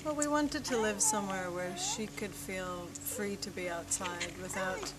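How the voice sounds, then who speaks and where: A woman's voice: mid-range voice, a little slow, far from the mic, poor audio. A woman of thirty-two from Jerusalem, answering interview questions in a friendly way. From Jerusalem, Israel. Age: 30s. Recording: off-mic.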